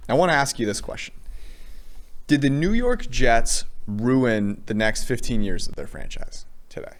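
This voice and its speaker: A man's voice: mid-range voice, big pitch swings, normal speed. Male podcast host, casual and teasing, keeps the talk moving with questions. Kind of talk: casual sports podcast chat.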